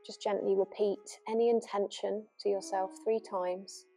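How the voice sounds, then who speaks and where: A woman yoga nidra teacher leading a guided relaxation meditation gently and without hurry, leaving long pauses. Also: medium pitch, flat delivery. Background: new-age music.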